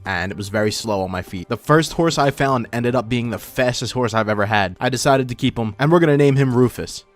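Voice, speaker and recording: A man's voice: medium pitch, a little fast, few pauses. A man narrating gameplay in a casual, informal way with wry asides, his voice run through a voice filter. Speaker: gaming video host. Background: background music.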